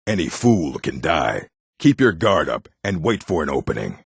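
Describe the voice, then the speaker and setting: A man voicing a proud, blunt orc warrior and miner for a video game, with a gruff, gravelly, husky voice. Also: a bass voice, very animated, normal speed, exaggerated voice. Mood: gruff, instructive. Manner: blunt, terse, commanding. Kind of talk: scripted video game character lines.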